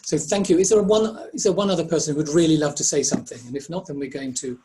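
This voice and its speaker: Gentle man facilitating an online workshop. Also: a little high, quick pace, crowd noise. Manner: gentle, inviting, paraphrases speakers. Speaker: facilitator, presenter. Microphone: video call.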